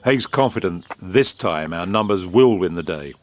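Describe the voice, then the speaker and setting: A man acts a polished British government propagandist in a period audio drama. His voice is low, his phrasing grand, measured and self-assured. Birdsong and background noise sit behind him. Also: expressive. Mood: hopeful, measured. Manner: urbane, eloquent, measured, grandiloquent. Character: suave, confident British wartime propaganda official.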